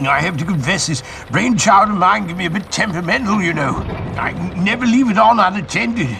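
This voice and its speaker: A genteel older gentleman inventor, acted by a man, with a high, creaky voice. He is genial and self-deprecating, in the style of a sci-fi audio drama. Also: lively intonation, brisk, background noise.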